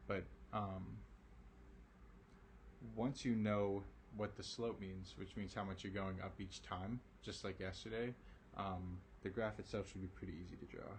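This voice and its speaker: A man teaching a recorded algebra lesson, his voice raspy and tired from a late hour, talking casually with lots of ums and okays. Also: low voice, steady tone, a little slow, slight background noise. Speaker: math teacher.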